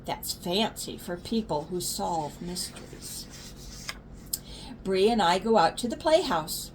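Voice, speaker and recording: A woman's voice: on the low side, very animated, slow, background noise. An older woman, a grandmother, reading a children's story aloud expressively and explaining words to young listeners.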